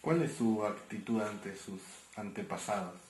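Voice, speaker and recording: Low-voiced male interviewer, echoey room. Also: a little slow, a little mellow. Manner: brief questions and short acknowledgements. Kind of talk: interview questions. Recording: echoey room, faint hum.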